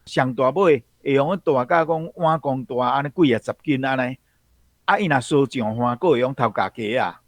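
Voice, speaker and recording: A man in a mid-range voice explaining a word's origin in a steady, explanatory way. The recording is dry and close to the mic. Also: medium pitch, dry recording. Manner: explanatory, frequent fillers. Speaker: guest commentator.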